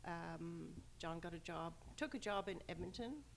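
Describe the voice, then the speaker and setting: A woman's voice: lowish voice, animated, moderate pace, vocal fry. An older woman with an Irish accent, a guest in an interview, telling stories candidly with a light laugh. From Ireland. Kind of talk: conversational interview.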